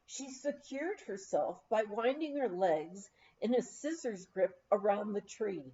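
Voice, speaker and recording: A woman narrates a memoir at an unhurried pace, her voice soft-edged and mellow, pitched a little high. She tells it as a measured, expressive story read aloud, on a slightly dull recording. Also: a little hiss or hum. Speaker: audiobook reader.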